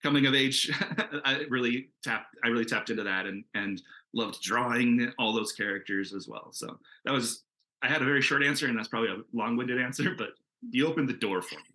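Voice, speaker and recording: A man's voice: mid-range voice, a little fast, bright, forceful tone. A man talking casually as a comics creator on a panel, in an enthusiastic, rambling way.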